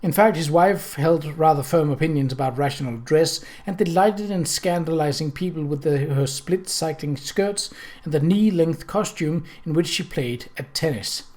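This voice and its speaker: A male narrator reads a novel aloud. His voice sits a little high for a man, and he delivers the scripted prose as audiobook storytelling. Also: moderate pace, darkish tone.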